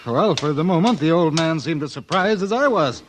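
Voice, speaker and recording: A man's voice: a little high, animated, moderate pace, slight background noise, close to an everyday voice. A man playing a well-spoken, wry and aggrieved painter with a mannered delivery in a 1950s radio western. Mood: indignant. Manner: articulate, mannered. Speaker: supporting actor in a western radio drama.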